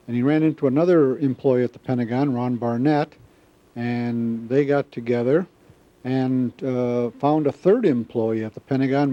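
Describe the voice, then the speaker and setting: An American man in his seventies from the Midwest, recalling things in an interview in a halting, measured way. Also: medium pitch, dark tone. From Wisconsin, US Midwest. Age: 70s. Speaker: club member, newsletter editor. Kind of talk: interview recollection. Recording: slightly dull, dry interview sound.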